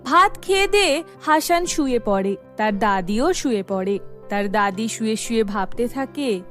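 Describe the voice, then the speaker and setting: Female storyteller for children's cartoon tales, high voice, unhurried scripted read, light music behind. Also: lively intonation. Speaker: storyteller narrator.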